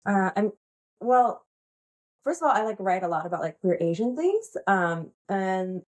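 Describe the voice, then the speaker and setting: A woman's voice: medium pitch, very animated, measured pace, darkish tone. Bubbly giggly woman, heavy fillers. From United States. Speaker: guest, pole dance instructor and playwright. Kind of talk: casual interview chat.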